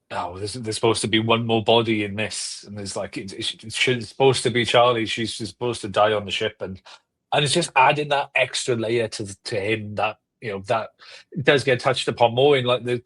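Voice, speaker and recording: A man's voice: mid-range voice, fairly bright tone. A man chatting casually as a podcast panellist, enthusiastic and often tripping over his phrases. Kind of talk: casual fan discussion.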